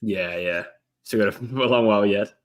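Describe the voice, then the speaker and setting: A man in his thirties co-hosting a football watchalong in casual chat, relaxed and quick to laugh. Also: fairly low pitch. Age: 30s. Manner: relaxed, laughs easily, thinks aloud. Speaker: co-host of a live football watchalong.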